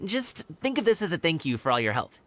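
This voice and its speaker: Bright, upbeat young delivery guy. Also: fairly high pitch, sing-song, fast talker. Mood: cheerful. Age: young adult. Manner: upbeat, casual, breezy. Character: friendly, eager, generous young male delivery worker. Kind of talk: animated video game voice acting.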